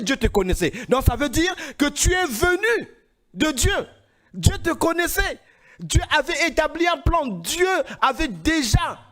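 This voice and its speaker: A man preaching a sermon, from Congo, emphatic and rising into louder, higher passages.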